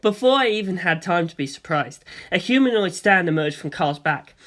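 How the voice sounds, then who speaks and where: Story narrator, medium pitch, animated intonation, theatrical, expressive first-person audiobook reading. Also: normal speed.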